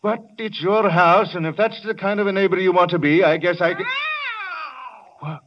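An actor playing a blustery, nosy older neighbor in a 1940s radio play, talking in a folksy, coaxing brogue. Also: male voice, high-pitched, fairly even intonation, normal speed, natural voice. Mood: insistent. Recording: dull lo-fi old radio broadcast.

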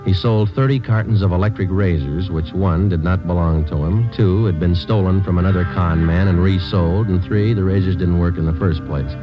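Guy playing an american cop narrating his case, low n flat matter-of-fact, old 50s radio show, muffled sound with music. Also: normal speed, few pauses, lightly acted. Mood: deadpan, matter-of-fact. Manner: clipped, matter-of-fact, deadpan. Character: terse, businesslike male police detective sergeant narrating his case. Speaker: detective and narrator. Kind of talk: 1950s radio police drama acting and narration. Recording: dull vintage radio recording with music.

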